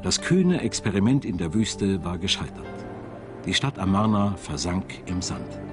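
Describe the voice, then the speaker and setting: A man's voice: low-pitched, lively intonation, unhurried. A man reading scripted narration for a documentary as its narrator. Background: music behind the voice-over.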